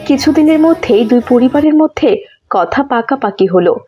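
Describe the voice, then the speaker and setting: A woman narrates a scripted moral tale for children. Her voice is mellow and somewhat high. The recording sounds a little muffled and lo-fi. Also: animated, normal speed, soft background music.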